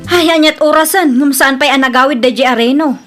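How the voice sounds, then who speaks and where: A woman's voice: sits high, a little fast, music in the background, natural voice. A woman playing an impatient, fretful wife in a radio drama.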